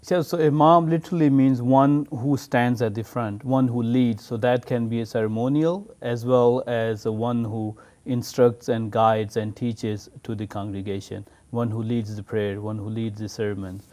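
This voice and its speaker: A man's voice: medium pitch, fairly even intonation, moderate pace, few pauses, a little mellow. A man from Pakistan, an imam patiently explaining his faith in an interview. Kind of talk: interview answers.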